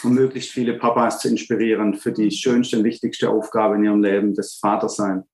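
A man in his forties with a Swabian accent, speaking earnestly as an interview guest and often pausing to search for words. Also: fairly low pitch, little pitch movement, a little mellow, reverberant, low-quality recording. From Swabia, Germany. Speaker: guest, fathers' coach. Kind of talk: reflective interview talk.

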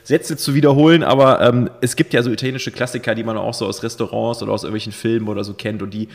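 A man in his thirties co-hosting a podcast, telling a rambling story full of fillers, his voice a bit hoarse after a night of drinking. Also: medium pitch, steady tone, quick pace, long reverb tail. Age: 30s. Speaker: podcast co-host. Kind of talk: casual chat.

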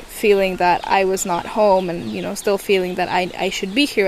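Young woman talking slow and thoughtful in a second language, hesitant, lots of restarts. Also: mid-range voice, a little hiss or hum. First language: not English. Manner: hesitant, searching for words, many restarts. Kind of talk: thoughtful interview answers.

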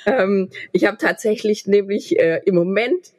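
A woman hosting an interview podcast over a video call, chatty and quick to laugh, with frequent restarts. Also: medium pitch, darkish tone, dry recording, studio quality. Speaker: podcast host. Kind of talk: casual interview chat.

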